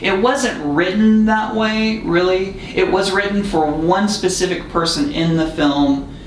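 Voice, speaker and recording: A man with a slightly high voice talks as a guest filmmaker. He explains himself earnestly in a conversational way. The recording is roomy, a bit off-mic, with faint noise. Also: fairly even intonation, measured pace, far from the mic. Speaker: film director and writer, podcast guest. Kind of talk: casual interview chat. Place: echoey studio room.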